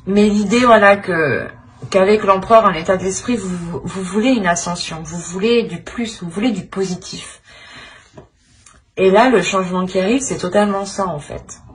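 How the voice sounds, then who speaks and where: A woman's voice: medium pitch, normal speed, slight background noise. A woman giving an improvised tarot reading straight to the viewer, feeling her way through repeated phrases and tag questions. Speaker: tarot reader, channel host.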